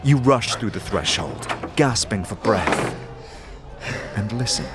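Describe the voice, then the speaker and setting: A man narrating a fantasy audio drama in the second person, theatrical and deliberate, in short measured clauses. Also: lowish voice, animated, over loud music. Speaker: narrator and lead player of an audio series.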